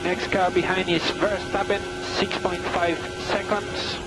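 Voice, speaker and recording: High-pitched male race engineer on radio. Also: a little slow. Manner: calm, terse, repeats instructions. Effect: team radio. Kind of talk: team radio instructions. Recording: crackly team radio with music underneath.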